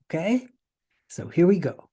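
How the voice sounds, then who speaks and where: A man's voice: on the high side, big pitch swings, unhurried, dark tone, slightly rough. A man hosting a podcast, explaining things in a casual, conversational way. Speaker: podcast host.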